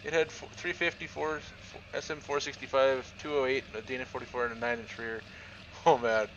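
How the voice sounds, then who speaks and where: Bright-voiced Canadian streamer, joking. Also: male voice, mid-range voice, little pitch movement, a little fast, lo-fi sound, slight background noise. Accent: Southern Ontario Canadian. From Southern Ontario, Canada. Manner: chatty, joking, trails off and restarts. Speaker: game streamer. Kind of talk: casual live-stream chat while playing.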